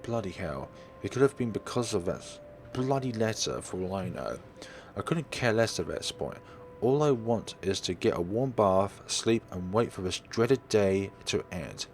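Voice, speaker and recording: A man's voice: lowish voice, animated, a little slow, slight background noise. A man narrating a visual novel aloud in a dramatic first-person style, now and then stumbling over words. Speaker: let's play host reading a visual novel aloud.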